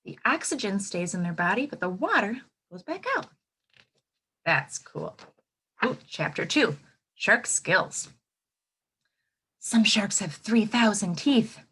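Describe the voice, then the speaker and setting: A woman reads aloud as an elementary teacher would to young children, at an unhurried pace. Her intonation is very animated and expressive around a medium pitch, and she often steps away from the text to put questions and asides to her listeners. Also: slightly rough.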